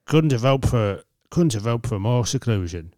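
A woman voicing a gruff, commanding male trainer who barks curt orders in a much lower voice. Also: expressive, normal speed, warm tone, no room sound. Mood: brisk.

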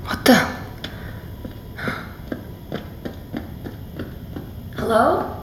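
A woman's voice: normal speed, slight background noise, natural voice. A woman with an American accent playing a curious, polite investigator in a naturalistic audio drama. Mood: shaken. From New York.

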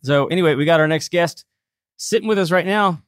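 A man's voice: fairly high pitch, brisk, no room sound. A man hosting a radio show with a casual, chatty manner, full of fillers and easy laughs, speaking close to a studio mic. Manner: laid-back, chatty, lots of fillers and laughs. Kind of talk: casual on-air chat. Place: studio with noise around. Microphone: close mic with headphones.

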